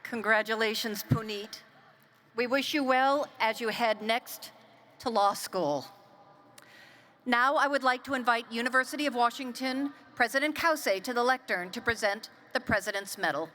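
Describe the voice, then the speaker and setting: A woman's voice: a little high, normal speed, sharp tone, a little hiss or hum. A woman presenting awards at a graduation ceremony, speaking formally and measuredly. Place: large outdoor stadium.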